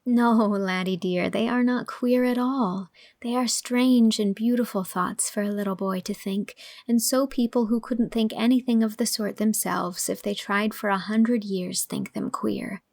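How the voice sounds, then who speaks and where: A woman voicing a gentle young woman teacher, tender, earnest and reassuring, in an audiobook drama. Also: medium pitch, steady tone, a little slow, creaky, few pauses, dry recording, studio quality. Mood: tender, encouraging.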